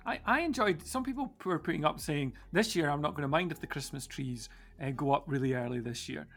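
A man's voice: a little high, brisk, a bit bright. A man speaking as a church minister on a podcast panel, reflecting casually and hesitantly as he thinks aloud.

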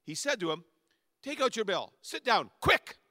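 A man's voice: high-pitched, sing-song, moderate pace, bright, forceful tone, dry recording, clean studio sound. A male pastor preaching a sermon in a conversational way that builds to emphatic peaks.

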